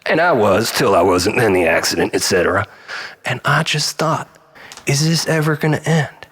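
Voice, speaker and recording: A man's voice: mid-range voice, animated, moderate pace, a bit bright, slightly rough. A man playing an unhinged, self-loathing storyteller in a dramatic monologue, rambling in mock-philosophical, preacher-like repetitions. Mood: dark, weary. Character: unhinged, self-loathing male storyteller pleading with his lover.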